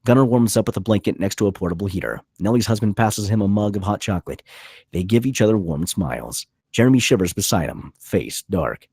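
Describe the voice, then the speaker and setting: A deep, husky man reads stage directions at a film table read. His scripted narration moves with very expressive pitch as he gives scene headings and action. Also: a little fast, no room sound, clean studio sound.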